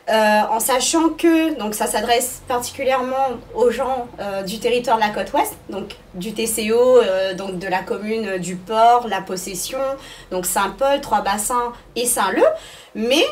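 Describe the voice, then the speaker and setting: Female interview guest, school director, fairly high voice, explanatory with hesitations, recorded slightly off-mic. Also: a little slow, far from the mic, slight background noise.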